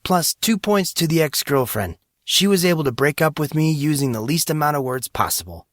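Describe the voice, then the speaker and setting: A playful young man, acted by a male narrator in a story, pitched up from the narration. He talks in a casual, teasing way with a tender streak, in a dry recording. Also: expressive, moderate pace, a bit bright, no echo. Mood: joking, amused. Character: joking, tender young man comforting a girl.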